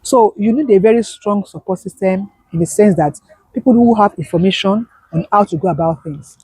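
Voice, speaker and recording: A woman's voice: medium pitch, brisk, warm tone, husky, dry recording, low-quality recording. A woman, a business owner, speaking as an interview guest and emphatically repeating her key points. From Nigeria. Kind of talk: conversational interview.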